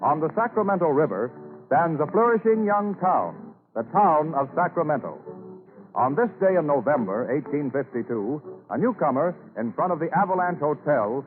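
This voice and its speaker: A man's voice: on the high side, very animated, normal speed. Tinny old-radio, theatrical male narrator. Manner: theatrical, declamatory. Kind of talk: 1930s radio drama narration, scripted. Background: orchestral music.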